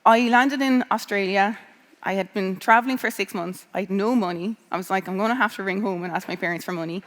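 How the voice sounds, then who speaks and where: A woman's voice: mid-range voice, a little fast. Irish woman speaking in a reverberant hall. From Ireland. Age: 30s. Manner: conversational, with many um fillers and restarts. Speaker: scientist giving a talk to students. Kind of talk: personal career talk.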